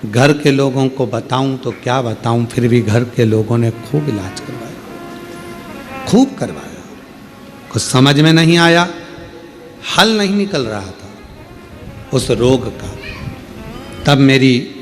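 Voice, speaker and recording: Male preacher, mid pitch, emphatic devotional delivery, faint music underneath. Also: expressive, slow pace, pauses often. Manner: emphatic preaching with rising exclamations. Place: open-air religious gathering.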